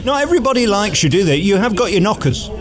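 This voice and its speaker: A man's voice: sits high, very animated, fast talker, a crowd in the background. A man hosting casual theatre preview interviews in a chatty, cheeky, complimentary way.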